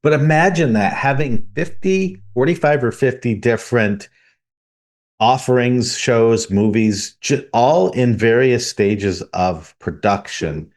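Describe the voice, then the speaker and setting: A man's voice: mid-range voice, slow, a little mellow. A man co-hosting a podcast, steering topics and putting questions to his partner. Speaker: podcast co-host. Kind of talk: casual podcast discussion.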